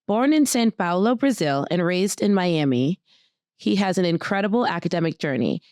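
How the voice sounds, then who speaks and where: A woman's voice: lowish voice, dry recording, clean studio sound. Playful curious woman podcast host. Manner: curious, playful questioning, lively reactions. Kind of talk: casual interview.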